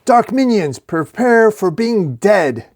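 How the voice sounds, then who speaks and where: A man performs a dim, self-important wizard from a comic fantasy tale. The voice jumps high and loud, declaiming every line as a grand threat. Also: sing-song, takes it slowly, full character voice. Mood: menacing. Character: shouting, pompous, dim male fantasy wizard. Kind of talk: comic fantasy story reading.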